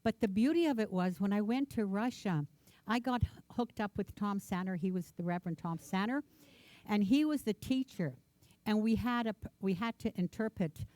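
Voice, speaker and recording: A woman's voice: medium pitch, normal speed, warm tone, no room sound. A woman minister, a native Ukrainian speaker, gives an earnest, reflective personal sermon in a church, repeating words for emphasis.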